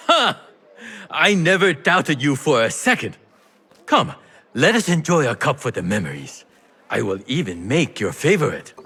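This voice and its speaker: Theatrical sly merchant, swooping pitch. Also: male voice, sing-song, a little slow, pushed and bright, husky, heavily acted. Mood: playful, teasing. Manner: theatrical, teasing, playful, wry. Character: sly, theatrical male merchant and smuggler, affectionate teasing. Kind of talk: video game cutscene acting. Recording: music behind the voice.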